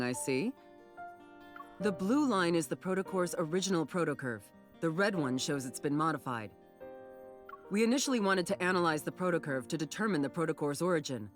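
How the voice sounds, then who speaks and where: Composed captain, music behind. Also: female voice, low voice. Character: composed, businesslike woman captain of monster hunters. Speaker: commanding officer of a hunter team. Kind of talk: scripted game voice acting.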